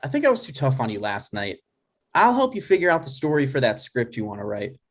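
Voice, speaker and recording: Man playing an exasperated son, very animated pitch. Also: fairly quick. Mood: disbelieving. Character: exasperated, dry, reluctant adult son, struggling writer. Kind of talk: comedy script table read, naturalistic.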